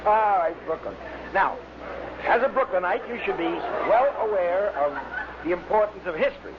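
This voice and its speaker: A man's voice: high-pitched, animated, moderate pace, a crowd in the background. A man hosting an old-time radio quiz, addressing a contestant. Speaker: quiz show host. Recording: muffled, noisy old radio broadcast.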